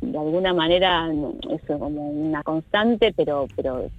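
A woman's voice: sits low, normal speed, narrow, tinny audio, soft background music. A middle-aged woman with a Buenos Aires accent, a musician guest chatting casually and hesitantly, full of restarts and fillers. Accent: Buenos Aires Spanish. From Argentina. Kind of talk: casual interview chat.

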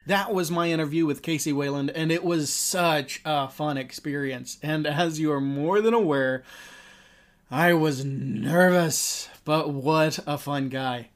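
Male podcast host, fairly high-pitched voice, presenting and interviewing. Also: measured pace. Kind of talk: podcast interview and scripted outro.

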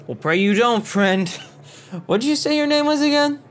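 Radio drama, tipsy soldier, high voice, swooping intonation, chummy, rambling. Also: male voice, animated, moderate pace, fairly bright tone. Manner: rambling, over-friendly. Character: jovial, chatty, drunken Confederate soldier.

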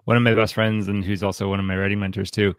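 A man co-hosting a casual book discussion, speaking haltingly and repeating words as he thinks aloud. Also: lowish voice, flat delivery, clear, resonant tone, no room sound, studio quality. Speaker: podcast co-host and writer.

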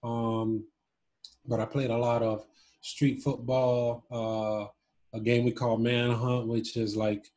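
A man in his twenties from Cuba and Miami, chatting casually and thoughtfully as an interview guest, pausing as he searches for words. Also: mid-range voice, level tone, darkish tone. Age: 20s. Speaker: interview guest, former basketball player. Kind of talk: casual reflective interview chat.

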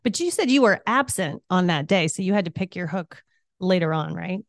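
A woman co-hosts a conversational interview podcast. Her pitch sits a little low for a woman, and the recording is very dry. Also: animated, brisk, no room sound. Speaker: podcast co-host, school staff interviewer.

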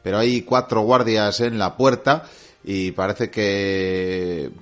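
Low-voiced radio host. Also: male voice, little pitch movement, takes it slowly, a bit bright. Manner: wry, playful banter. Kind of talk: radio show hosting and mock narration.

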